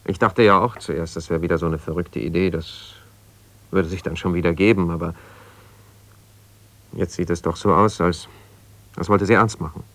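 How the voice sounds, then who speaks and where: Aggrieved lawyer husband, lowish voice, self-justifying, colloquial, quarrelsome, naturalistic radio drama. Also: male voice, steady tone, moderate pace, pauses often, a bit bright, no echo. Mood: subdued, confiding. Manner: argumentative, exasperated, colloquial. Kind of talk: naturalistic radio play, one side of a phone call.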